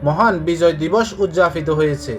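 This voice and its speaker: A Bangladeshi man reads a scripted news report in a high-pitched voice. Faint music plays underneath his narration. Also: moderate pace.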